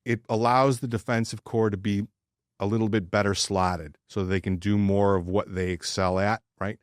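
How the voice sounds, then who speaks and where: A man's voice: lowish voice, little pitch movement, normal speed, no echo, studio quality. A man co-hosting a sports podcast, chatting casually and giving opinions in informal, sometimes profane language.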